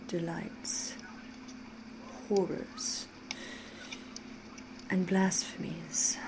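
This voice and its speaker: A woman voicing a flirtatious, menacing vampire in an ASMR roleplay, refined and theatrical, with drawn-out phrases slipping into breathy whispers. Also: medium pitch, background noise. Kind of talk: ASMR roleplay, scripted character monologue.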